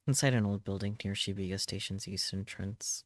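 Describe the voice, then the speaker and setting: Low-voiced man, solemn dramatic narration. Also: steady tone, dry recording. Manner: solemn, measured, short halting phrases. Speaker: streamer reading a visual novel aloud. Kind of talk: dramatic scripted first-person narration.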